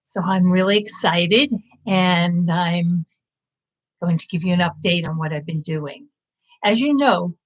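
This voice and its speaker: Slow, low-voiced woman in her fifties. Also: rough recording. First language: English. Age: 50s. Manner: earnest, reflective, encouraging. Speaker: language-learning channel host. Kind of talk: casual live-stream update. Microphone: live-stream setup.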